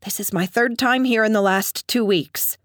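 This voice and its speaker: A woman's voice: medium pitch, normal speed, a bit bright, no echo, studio quality, voice pushed up, natural voice. A woman voicing a haughty, cool-headed heroine who gives clipped, defiant retorts.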